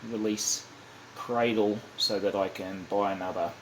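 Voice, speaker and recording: A man giving a casual, unscripted hands-on demonstration, halting and thinking aloud as he goes, often correcting himself. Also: on the low side, steady tone. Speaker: hobbyist demonstrating a kit build. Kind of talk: casual unscripted how-to narration. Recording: clearly noisy amateur home recording.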